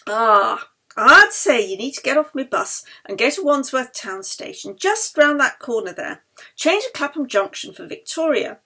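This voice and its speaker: A brisk male bus conductor from a London novel, put on by a woman reader. He is familiar, chatty and cheeky, and speaks up loudly at a raised pitch. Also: big pitch swings, heavily acted. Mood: cheeky, helpful.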